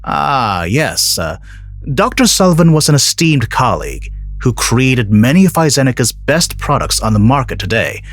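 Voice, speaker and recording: A man's voice: medium pitch, big pitch swings, normal speed, no echo, faint music behind. A man playing a slick, defensive pharmaceutical boss in an audio drama, polished and self-righteous. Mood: smoothly pious. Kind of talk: scripted audio drama acting.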